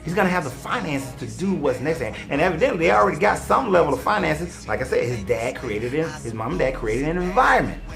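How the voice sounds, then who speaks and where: A man's voice: fairly high pitch, creaky. A man in his forties giving an emphatic, preachy motivational monologue, talking straight to his viewers. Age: 40s. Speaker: online commentator and life coach.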